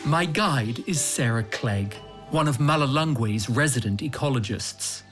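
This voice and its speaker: Brief: male reporter, mid-pitched voice, earnest measured narration, music bed underneath. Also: lively intonation. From Australia. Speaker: foreign correspondent. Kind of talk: scripted documentary narration.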